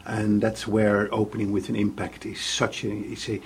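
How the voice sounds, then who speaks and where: A man's voice: on the low side, completely flat delivery, normal speed, a little hiss or hum. A man hosting a podcast interview, who stammers and restarts his questions and often ends them on tag questions. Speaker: podcast host.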